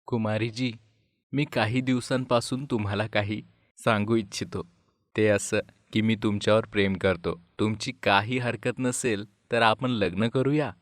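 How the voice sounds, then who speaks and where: Young office-worker suitor, lowish dry voice, earnest and stubborn, acted by a man, animated moral tale. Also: unhurried, no echo, close to an everyday voice. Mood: earnest, tender.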